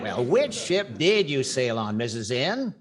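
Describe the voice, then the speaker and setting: Older man playing a lively, wry radio host. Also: medium pitch, unhurried, crowd noise. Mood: curious, wry. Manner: wry straight man, echoing lines. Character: wry, inquisitive male radio comedy host. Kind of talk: 1940s radio comedy acting. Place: indoors on a video call.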